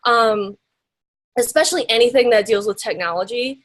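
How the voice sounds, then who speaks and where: A woman's voice: fairly high pitch, normal speed, a bit bright. A young woman telling her story in an interview, chatty and full of fillers and rhetorical questions. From United States. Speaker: interviewee, graphic designer.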